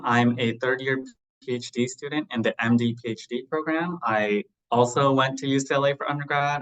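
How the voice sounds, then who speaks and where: Guy in his 30s on a video call, bright clear voice, chatty, says "like" a lot. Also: mid-range voice, rough recording. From Southern California. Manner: conversational, many "like" fillers. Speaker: MD-PhD student panelist. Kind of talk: casual panel talk.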